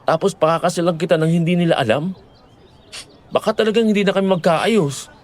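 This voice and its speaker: A man's voice: sits high, fairly quick, natural voice. A man playing a responsible young boyfriend in an audio drama, earnest, patient and placating, with a Filipino accent. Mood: earnest pleading. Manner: earnest, pleading, gentle.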